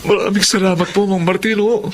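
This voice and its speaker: A man's voice: high voice, fairly even intonation, brisk, lightly acted. A man playing an emotional young father and reformed addict in a Filipino radio drama. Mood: humble, grateful.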